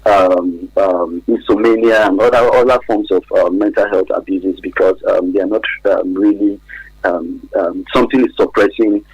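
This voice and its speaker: A man's voice: low voice, steady tone, moderate pace, darkish tone, husky, dry recording, poor audio. A man with a Nigerian accent giving hesitant, explanatory answers as an expert guest in a radio interview. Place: radio studio.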